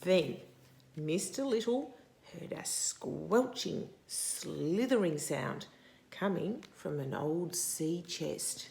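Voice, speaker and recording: A woman in her sixties reads a picture book aloud to young children in an expressive, deliberate way, stopping to put questions to them. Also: low-pitched, gravelly, slight background noise. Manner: deliberate, animated, asking questions of young listeners. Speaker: storytime host reading a picture book to children. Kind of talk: expressive children's read-aloud with asides to the listener.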